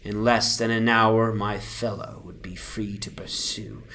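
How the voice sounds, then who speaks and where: A man giving a solemn, deliberate dramatic narration of a literary horror story over an ambient soundscape. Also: on the low side, steady tone, sharp tone, slight background noise.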